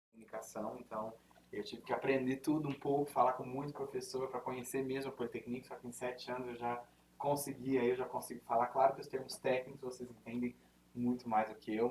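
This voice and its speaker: Guy w/ interior sao paulo accent, rolled R, talking casual to students, mic kinda far off w/ faint room hiss. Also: medium pitch. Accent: interior São Paulo (caipira R). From Brazil, interior of São Paulo state. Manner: chatty and informal, frequent fillers and self-corrections. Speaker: university representative giving a presentation. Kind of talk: informal presentation to students. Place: lecture room.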